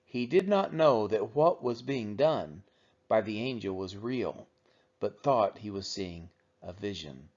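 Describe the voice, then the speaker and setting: A man's voice: lowish voice, lively intonation, slow, darkish tone. A man reading the Bible aloud as a narrator for a church read-along. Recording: dull, lo-fi sound.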